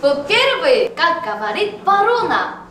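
A very high, lively woman's voice hosts a playful guessing game, unhurried and giggly as she teases her guests and mimics animal sounds. She sits some distance from the mic in a bright, echoey studio with music playing underneath. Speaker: host.

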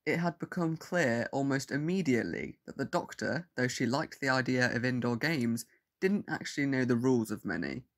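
A man's voice: medium pitch, moderate pace. A man narrating a scripted audio story as its narrator.

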